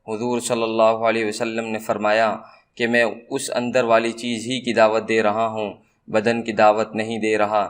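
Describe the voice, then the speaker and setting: A man narrating a religious moral story in a measured, formal way, as a scripted storyteller. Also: fairly low pitch.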